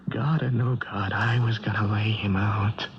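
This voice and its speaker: An actor playing a troubled, earnest prizefighter haunted by a dream, halting and intense in a 1970s radio drama. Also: male voice, fairly low pitch, fairly even intonation, husky, exaggerated voice. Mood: defiant. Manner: halting, repeating words, intense, colloquial. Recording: dull vintage radio recording.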